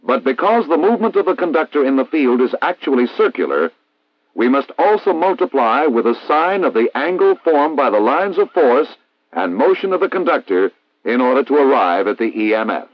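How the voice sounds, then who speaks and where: An American man reading a scripted instructional narration in a formal, measured, didactic way, as in a 1960s military training film. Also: mid-range voice, sing-song, dry recording. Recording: dull vintage 1960s film soundtrack.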